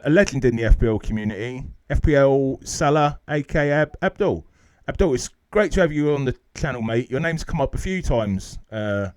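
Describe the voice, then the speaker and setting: A man's voice: mid-range voice, darkish tone, smooth, no echo. A man hosting a casual interview in a friendly, chatty way, addressing the guest as mate. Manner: friendly, complimentary, says "mate". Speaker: interview host.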